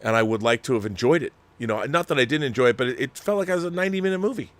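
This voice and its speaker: A man's voice: medium pitch, animated. A man hosting a casual film discussion, giving emphatic, opinionated commentary in a rambling way, often breaking off to rephrase. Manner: rambling, emphatic, halting mid-sentence and rephrasing. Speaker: host of a film discussion show. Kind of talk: casual opinionated commentary, reading viewer letters.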